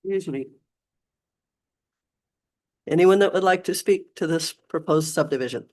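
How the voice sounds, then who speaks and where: A woman chairing a county commission meeting, hoarse and losing her voice, moving things along with brisk procedural phrasing. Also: lowish voice, a little mellow.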